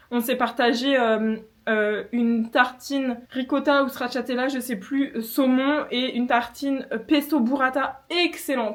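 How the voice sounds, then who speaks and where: A young woman from France in her twenties, chatting casually and enthusiastically to camera in a home vlog, with many fillers and restarts. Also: on the high side. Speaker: vlogger. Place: home kitchen.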